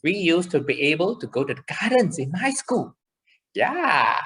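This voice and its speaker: A woman's voice: on the low side, big pitch swings, normal speed, fairly bright tone. A woman voicing a chirpy, caring child puppet in a children's show, with simple, encouraging explanations. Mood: cheerful, thankful.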